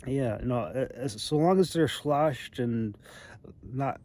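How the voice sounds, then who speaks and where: A man's voice: mid-range voice, slow, darkish tone. A man in his thirties casually reviewing a product outdoors, wry and hesitant, often trailing off mid-thought. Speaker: amateur knife reviewer. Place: outdoors in a snowy open river valley. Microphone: phone at arm's length. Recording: outdoor phone recording with background noise.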